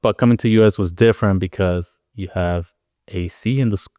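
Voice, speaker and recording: A man in his thirties, from the Arizona–Mexico border, who reflects on his past with an unhurried ease. His voice sits a little low and sounds smooth and clear, close and dry against the mic. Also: dark tone, no echo. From Arizona-Mexico border, Sonoran Desert. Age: 30s. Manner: easygoing, reflective storytelling with many fillers. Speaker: podcast guest, music producer and DJ. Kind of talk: casual interview chat.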